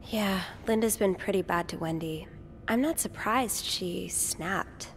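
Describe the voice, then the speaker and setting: A woman voicing a gentle, curious hotel maid turned amateur sleuth in a mystery video game. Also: mid-range voice, unhurried, slight background noise, lightly acted. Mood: confiding.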